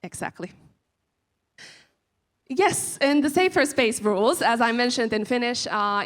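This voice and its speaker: A woman organizer giving the rules of an event in an earnest way, pausing often with uhs. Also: mid-range voice. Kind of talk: event announcements.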